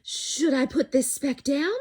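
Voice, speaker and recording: A woman voicing a big, gentle, protective male elephant in an earnest, emphatic way for a children's storybook. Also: sits high, takes it slowly, pitched above the performer's own voice. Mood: alarmed, determined.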